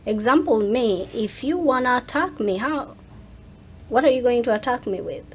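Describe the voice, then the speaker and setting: A woman's voice: fairly high pitch, lively intonation, moderate pace, a little hiss or hum. A woman in her thirties talking casually as an interview guest, emphatic and repeating phrases to drive them home. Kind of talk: casual chat.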